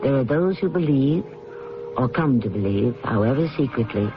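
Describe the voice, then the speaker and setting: A man with a mid-pitched, richly varied delivery hosts a radio mystery. His narration is theatrical and portentous, set over music on a muffled recording. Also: animated. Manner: portentous, deliberate. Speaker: host of a mystery drama series. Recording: dull lo-fi old radio recording.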